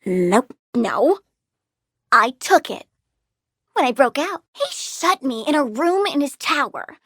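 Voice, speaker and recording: A woman's voice: high-pitched, big pitch swings, slow, a bit bright, exaggerated voice. An actress playing a childlike plant-girl villain in a video game, girlish and playful, petulant, gleefully menacing and doting on her plants. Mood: blunt, matter-of-fact. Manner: playful, petulant, swings from cooing to threats. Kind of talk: video game character voice lines.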